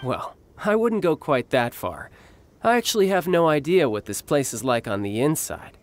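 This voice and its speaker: A man's voice: a little high, big pitch swings, moderate pace, noisy background. A man playing a confident young male warrior and protective older brother in a video game, breezy and assured. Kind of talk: English video game voice acting.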